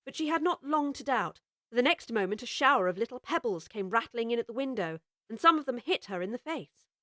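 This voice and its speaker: A woman narrating a full-cast audiobook of a children's classic in a measured, expressive way. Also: a little high, no echo, studio quality. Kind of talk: scripted storybook narration.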